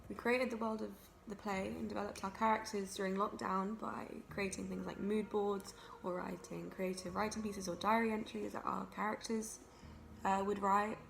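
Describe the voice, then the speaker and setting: A woman's voice: medium pitch, flat delivery, normal speed, gravelly. A young woman reflecting casually and a little hesitantly on her youth theatre group, with faint background noise. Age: young adult. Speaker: youth theatre company member. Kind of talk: casual interview-style reflection.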